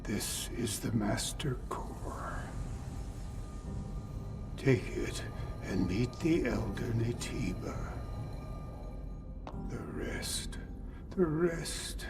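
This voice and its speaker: Solemn, fading man, very slow. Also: medium pitch, animated. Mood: grave, fading. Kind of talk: video game voice acting. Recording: distant voice under loud music.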